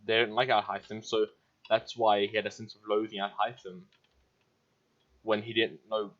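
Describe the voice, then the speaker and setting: Casting: male gamer, fairly low voice, casual play-along commentary, slightly dull recording. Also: level tone, moderate pace, fairly bright tone. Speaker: gaming YouTuber.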